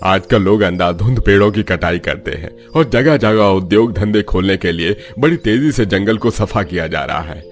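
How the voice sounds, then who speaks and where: A man's voice: sits low, animated, normal speed, few pauses, faint music behind. An actor playing a poor old village father in a cartoon story, mournful and lamenting. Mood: sad. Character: poor, sorrowful old forest woodcutter father.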